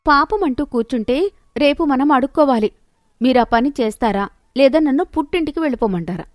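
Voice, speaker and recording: A woman's voice: high-pitched, animated, a little fast, dry recording, studio quality, pitched above the performer's own voice. A woman voicing a greedy, haughty wife who nags and bosses scornfully in a moral story. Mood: scheming, nagging. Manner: scornful, bossy, nagging. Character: haughty, scheming, greedy wife of an elder brother.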